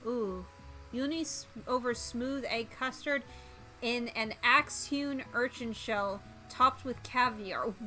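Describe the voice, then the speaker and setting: A woman playing a vain, flamboyant culinary student in a visual novel, with a drawn-out, showy delivery. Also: fairly high pitch, pushed and bright, faint music behind, low-quality recording. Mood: showy, proud.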